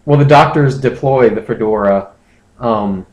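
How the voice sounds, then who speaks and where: A man's voice: mid-range voice, unhurried, darkish tone, dull audio. Man chatting casually in an echoey room. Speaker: comedy podcast host. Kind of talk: casual chat.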